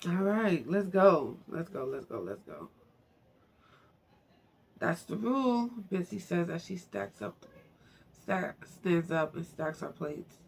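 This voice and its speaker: A woman's voice: lowish voice, expressive, slow pace, creaky, long pauses, a little mellow, slightly rough. A woman reading a book aloud informally, stumbling over words and breaking off to muse to herself in chatty asides. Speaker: amateur book narrator. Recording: faint background noise.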